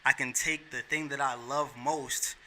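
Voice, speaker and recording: A man's voice: medium pitch, fairly even intonation, a little slow, bright, forceful tone. A man in his thirties from New York, a podcast guest talking in an earnest, heartfelt and slangy way. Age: 30s. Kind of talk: casual chat.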